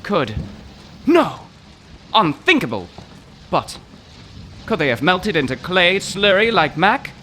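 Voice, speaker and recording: Excitable male professor, acted, high and swooping voice, florid and overwrought, comic audio drama, some ambient noise. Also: slow pace, long pauses, exaggerated voice. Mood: distraught, bewildered.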